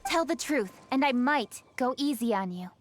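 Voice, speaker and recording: Stern, high-voiced young commander. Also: female voice, animated, moderate pace, a little hiss or hum. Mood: interrogating. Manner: firm, clipped, authoritative. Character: stern young female official, commanding and no-nonsense. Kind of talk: video game story dialogue.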